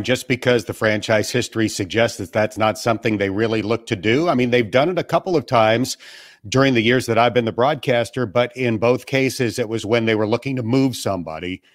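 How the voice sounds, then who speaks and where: A man from western New York who is a radio play-by-play broadcaster, answering interview questions in a measured, self-deprecating way from his family room. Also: mid-range voice, dry recording, studio quality. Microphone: remote call from home.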